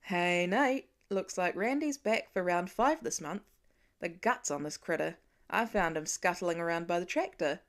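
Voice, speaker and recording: A woman's voice: lowish voice, animated, a little slow. A protective father in his middle years, acted out by a woman narrator. He talks in wry asides and urgent calls, as a storybook character devoted to his family. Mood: wry, casual. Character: protective middle-aged father, gruff then frantic with worry.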